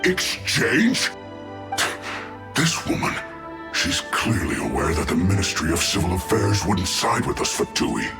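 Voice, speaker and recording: A man's voice: low voice, wildly swooping pitch, a little slow, creaky, exaggerated voice. A man voicing a hapless, indignant enemy soldier in a video game, protesting in an exasperated, whiny way. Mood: aggrieved. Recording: echoey, music behind the voice.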